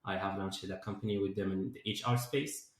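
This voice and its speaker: A man's voice: low voice, steady tone, a little fast. A man in his twenties from Morocco, answering interview questions as a guest and filling his sentences with "like" and "uh". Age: 20s. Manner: many fillers like "like" and "uh". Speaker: guest, DevOps engineer and founder. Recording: very echoey room.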